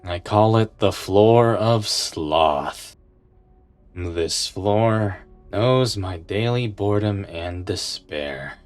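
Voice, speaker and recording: Man playing a low, listless, gloomy reclusive detective. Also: extremely slow, faint music behind. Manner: deadpan, lethargic. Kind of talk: anime dub acting.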